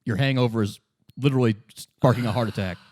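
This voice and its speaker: A man running a tabletop game as game master, narrating off the cuff in a halting, informal and wry way. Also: medium pitch, darkish tone, no echo.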